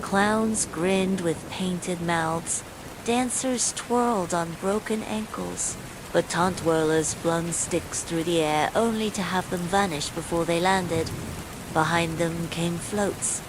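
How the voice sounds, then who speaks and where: A woman reading a scripted bedtime horror story in a measured, suspenseful way, as a storyteller. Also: on the low side. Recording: steady loud rain noise throughout. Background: falling rain.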